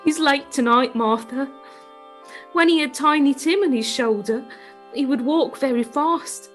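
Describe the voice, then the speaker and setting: A woman plays a tender, grieving mother in a period radio drama. The voice is high and unhurried, thick with tears, with faint music behind it. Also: a bit bright. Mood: sad, tender. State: tearful. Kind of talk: theatrical radio play acting.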